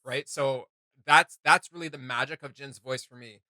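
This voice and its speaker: A man's voice: mid-range voice, steady tone, normal speed, pushed and bright, no room sound. A man hosting a reaction video, talking in a casual, informal, joking way.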